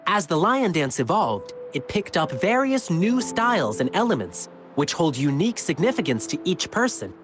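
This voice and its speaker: A man's voice: on the high side, very animated, normal speed, few pauses. A young man voicing an earnest, eager lion dance performer in video game story dialogue, explaining things in an encouraging way. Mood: determined, sincere. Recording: game music behind voice. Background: music.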